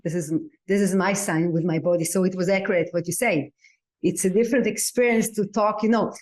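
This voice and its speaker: A woman's voice: lowish voice, fairly even intonation, brisk, a little mellow. A woman whose first language is Hebrew, talking reflectively on a podcast and sometimes searching for words in English. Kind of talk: casual podcast conversation.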